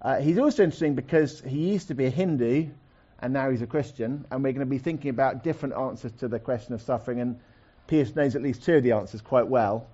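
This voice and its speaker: A man's voice: medium pitch, dark tone, lo-fi sound. Chatty man hosting a live talk. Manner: chatty, halting, many restarts. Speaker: host of a chat-show-style event. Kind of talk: live chat show interview. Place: stage before a live audience.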